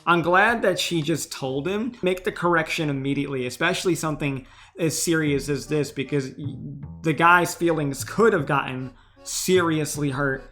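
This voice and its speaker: A man's voice: a little high, moderate pace, sharp tone, faint music behind. A man streaming as a VTuber, giving casual, earnest and supportive advice in response to reddit posts.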